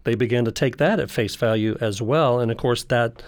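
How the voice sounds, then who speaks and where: A man speaking as a guest scholar, explaining theology conversationally in measured phrases with pauses. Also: medium pitch, no echo.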